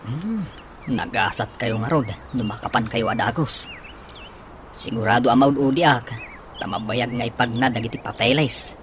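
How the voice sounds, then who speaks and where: A man's voice: medium pitch, normal speed, background noise, close to an everyday voice. An actor with an Ilocano accent playing an earnest, smitten young man in a radio drama. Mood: friendly.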